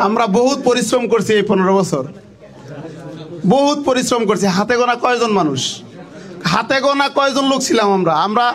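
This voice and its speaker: A male party activist with a Bangladeshi accent gives a rousing speech. His voice is very high and emphatic, and he repeats phrases for effect.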